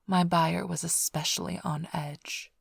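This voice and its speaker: A woman performs a female vampire thief for an ASMR roleplay, smug and flirtatious. Her voice is lowish and very breathy, often dropping into a whisper. She teases the listener in an unhurried drawl, recorded close and dry. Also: fairly even intonation, no echo, full character voice. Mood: teasing, nostalgic. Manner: teasing, languid, mock-affectionate drawl.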